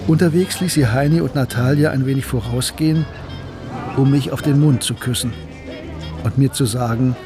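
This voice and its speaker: A man acting as a reflective student who recalls his life in a measured, literary radio drama narration. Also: medium pitch, natural voice. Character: Gustav, reflective male mathematics student recalling his past. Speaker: narrator and protagonist. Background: music.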